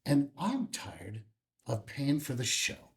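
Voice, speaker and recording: An actor playing a sneering, impatient, corrupt power broker in a script table read, pointed and deliberate. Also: male voice, mid-range voice, very animated. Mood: contemptuous, menacing. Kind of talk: filmed screenplay table read.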